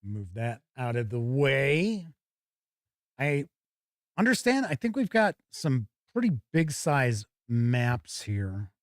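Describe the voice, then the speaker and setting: A man hosting a tabletop game show, giving casual, halting live unboxing commentary and thinking aloud. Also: mid-range voice, very animated, no echo, studio quality.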